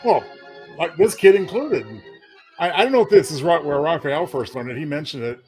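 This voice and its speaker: Male co-host for casual radio-fan chat: slightly high voice, very varied intonation, light music underneath. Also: lively intonation, fairly quick, a little mellow. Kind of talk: casual chat about old-time radio.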